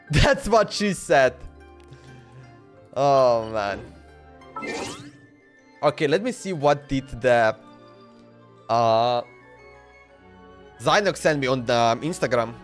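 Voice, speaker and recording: A man's voice: medium pitch, animated, extremely slow, long pauses, soft background music. A man streaming a game, chatting loosely and laughing, often saying "bro" and "man.". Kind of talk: casual livestream chat while playing.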